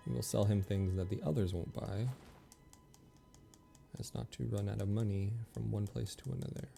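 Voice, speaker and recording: Deep, gravelly man casually streaming a game. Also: fairly even intonation, normal speed, warm tone, soft background music. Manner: rambling, thinking aloud. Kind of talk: casual gameplay commentary.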